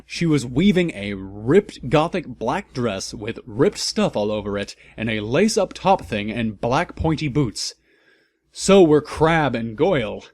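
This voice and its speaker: A man giving a comedic, mock-serious dramatic reading as a narrator, with theatrical, deliberate emphasis. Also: mid-range voice, very animated, a little mellow.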